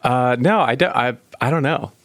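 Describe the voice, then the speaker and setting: A man's voice: medium pitch, moderate pace, no echo. A man from North Carolina, a musician guest in an interview, speaking thoughtfully and hesitantly. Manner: thoughtful, hesitant, soft-spoken. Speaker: band member guest. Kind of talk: casual interview.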